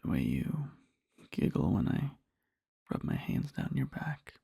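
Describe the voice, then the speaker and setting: A man playing an adoring boyfriend in a soft ASMR roleplay, murmuring slowly and tenderly to a sleeping partner in a breathy, husky whisper. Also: a bass voice, huge pitch swings, no room sound, studio quality, heavily acted. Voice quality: breathy, husky, with creaky fry. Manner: slow, halting, tender murmuring.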